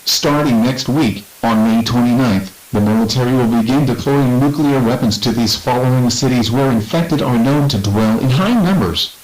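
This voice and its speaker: A man playing a regretful American President, giving a formal, measured address to the nation in an outbreak drama. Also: mid-range voice, a little mellow, natural voice, rough recording. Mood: solemn, regretful. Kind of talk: formal scripted televised address.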